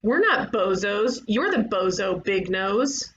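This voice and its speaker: A woman playing a brash, eager young ghost detective, cheeky and emphatic, in an animated comedy table read. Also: medium pitch, slow pace, muffled recording, a little hiss or hum. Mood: taunting.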